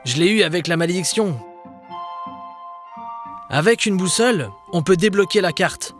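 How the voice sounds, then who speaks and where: A man's voice: high voice, animated, normal speed, a bit bright, soft background music. A man voicing an adventurous, commanding ghost sea captain in a children's cartoon, with a hearty, theatrical delivery. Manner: hearty, theatrical, barks nautical orders.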